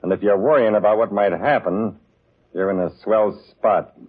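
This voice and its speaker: An actor playing a blunt, tough small-time safecracker in a 1940s radio crime drama, husky, curt and slangy. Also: male voice, on the low side, normal speed, close to an everyday voice. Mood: cocky. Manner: curt, slangy underworld talk. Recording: tinny, narrow old radio broadcast.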